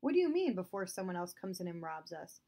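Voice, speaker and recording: A woman reading aloud, voicing a principled young brother who questions and insists on the truth, higher than her narration. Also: very animated, fast talker, vocal fry, mellow, heavily acted. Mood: firm. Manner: firm, questioning.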